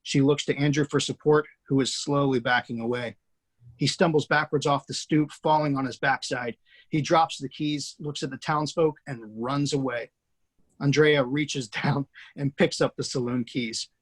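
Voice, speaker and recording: A screenwriter hosting a table read over a video call, reading scene directions aloud. His voice is slightly husky and sits at an ordinary middle pitch, delivered plainly and steadily. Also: fairly even intonation, moderate pace. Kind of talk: reading stage directions, then casual chat. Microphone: video call mic.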